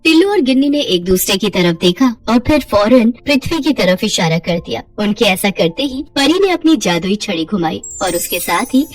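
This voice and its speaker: A woman's voice: medium pitch, sing-song, normal speed, few pauses, soft background music. A woman telling a moral bedtime story in scripted narration.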